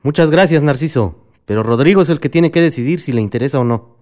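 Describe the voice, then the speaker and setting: An actor playing a middle-aged Mexican father in a radio soap, measured, patient and polite. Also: on the high side, animated, darkish tone, close to an everyday voice. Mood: polite, reserved. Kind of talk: radio soap opera dialogue.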